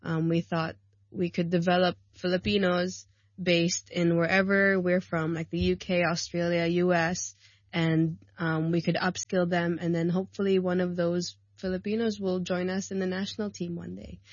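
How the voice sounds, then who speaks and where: A woman's voice: on the low side, flat delivery, normal speed, no room sound, muffled recording. Chatty, laughing woman on the radio. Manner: chatty and warm, laughs often, many ums and likes. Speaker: guest, rugby player and coach. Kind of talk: casual radio interview. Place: radio studio. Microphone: studio mic.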